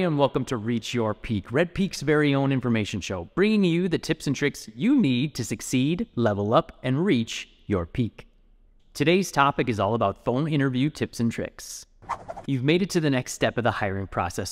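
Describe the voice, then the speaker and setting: A man's voice: medium pitch, big-room sound. A man in his thirties with an American accent, presenting a scripted show as an upbeat, polished host. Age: 30s. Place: indoor office, close to camera. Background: background music.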